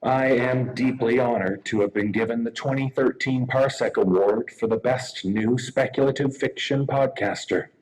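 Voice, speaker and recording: Man, mid-pitched, podcast producer reading a formal, measured acceptance speech. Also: a little mellow, poor audio.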